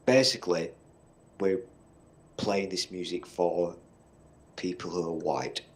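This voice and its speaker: Low-voiced, blunt Northern English man. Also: steady tone, slow pace. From Northern England. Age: middle-aged. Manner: blunt, swears freely, meandering storytelling. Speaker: podcast co-host. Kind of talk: casual chat.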